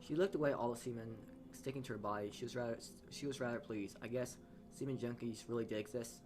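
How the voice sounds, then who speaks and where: Man reading game narration, mid-pitched. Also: fairly even intonation, fast. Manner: stumbles over words, rereading phrases. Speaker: let's-player reading aloud. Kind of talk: reading visual novel narration aloud.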